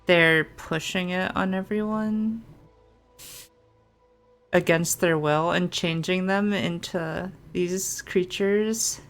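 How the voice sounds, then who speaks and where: A woman doing casual, playful gameplay commentary, chatty and often halting in her asides. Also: fairly low pitch. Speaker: gameplay streamer. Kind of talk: casual playthrough commentary with read-aloud game text.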